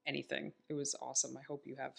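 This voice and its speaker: A woman's voice: extremely low pitch, level tone, racing, vocal fry, a bit bright. A woman in her thirties hosting a podcast, talking in a chatty, upbeat way and telling stories. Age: 30s. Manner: chatty and upbeat, tells stories with quoted asides. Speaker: podcast host. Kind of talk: casual chat.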